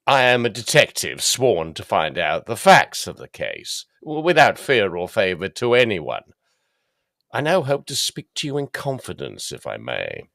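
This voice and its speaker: An actor playing a dogged, plain-spoken police detective in a gothic audio drama, formal and deferential. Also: male voice, on the low side, huge pitch swings, a little slow, creaky, a bit bright, dry recording, studio quality, full character voice. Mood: earnest, suspicious.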